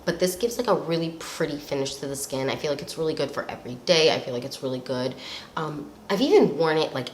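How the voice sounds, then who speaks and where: A young woman with a slightly nasal voice from a cold, chatting casually and rambling with lots of fillers while reviewing products. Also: extremely low pitch. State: recovering from a cold. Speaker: beauty vlogger. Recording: echoey room with faint noise.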